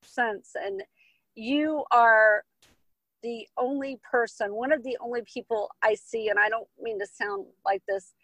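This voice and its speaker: A woman's voice: a little high, steady tone, measured pace, fairly bright tone, no room sound, low-quality recording. A woman from Texas and Hawaii with an American accent, answering interview questions as a podcast guest in an earnest, emphatic, conversational way. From Texas and Hawaii, United States. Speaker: podcast guest, business coach and author.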